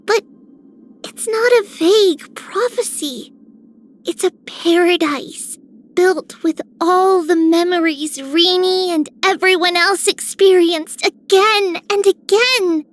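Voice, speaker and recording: A woman's voice: very high-pitched, takes it slowly. A woman voicing an eager, playful young girl heroine in a video game, chatty and exuberant. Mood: hopeful, excited. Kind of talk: video game character voice lines.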